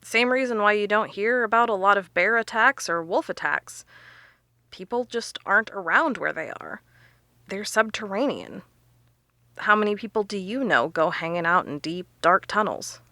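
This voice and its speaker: A confident researcher in an audio drama. Her voice is bright and forceful, recorded close and dry, and she lays out her theories with emphasis. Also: medium pitch, lively intonation, moderate pace, no echo. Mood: explaining, intent. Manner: emphatic, explanatory.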